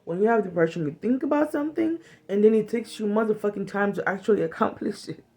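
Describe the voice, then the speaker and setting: Frank, animated woman from Cameroon. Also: medium pitch, fast. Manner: frank and animated, swearing freely, acts out little quoted lines. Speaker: vlogger. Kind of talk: casual talk to camera on trauma healing. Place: small bedroom indoors. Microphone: phone camera at arm's length.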